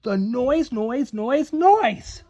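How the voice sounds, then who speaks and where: Animated, unhurried man reading a storybook. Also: extremely high pitch. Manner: dramatic, drawn-out emphasis on repeated words. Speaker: parent reading to children. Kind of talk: animated storybook read-aloud with casual asides. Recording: dull, lo-fi home recording.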